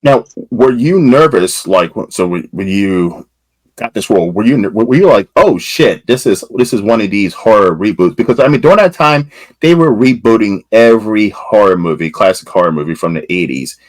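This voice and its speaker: A man's voice: fairly low pitch, animated, moderate pace, dry recording. A man hosting a podcast interview, asking long rambling questions with lots of fillers. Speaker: podcast host.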